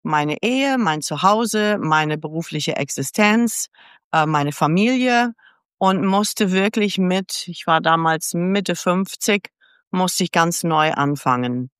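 A woman's voice: lowish voice, expressive, slow pace, smooth, no echo, clean studio sound. A woman around seventy, born in the United States, candidly telling her life story as a guest in a casual interview and acting out past conversations. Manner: candid, chatty storyteller who acts out past dialogue. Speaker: podcast guest.

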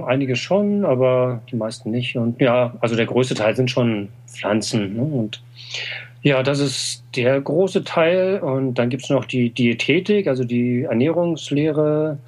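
A man's voice: medium pitch, a little mellow. German-speaking man explaining with many fillers. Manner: explanatory, frequent "äh" and "ne?". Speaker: TCM practitioner. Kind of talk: conversational interview.

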